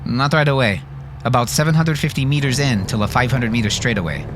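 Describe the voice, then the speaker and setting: A man's voice: mid-range voice, brisk, over music, voice pushed up, lightly acted. A man voicing an alert, eager tactical navigator in an audiobook, giving clipped and urgent reports. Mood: tense.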